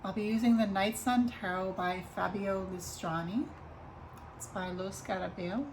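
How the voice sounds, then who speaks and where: A woman with a slightly raspy voice doing a casual tarot reading vlog, talking softly and unhurriedly with many ums and restarts. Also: medium pitch, fairly even intonation, moderate pace, noisy background. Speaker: tarot reader.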